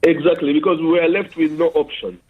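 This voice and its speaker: A man's voice: fairly high pitch, fairly even intonation, a little fast. A man originally from Uganda calling in to a radio show, making his points earnestly with many fillers. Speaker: caller. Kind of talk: phone-in call. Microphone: telephone. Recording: tinny phone line.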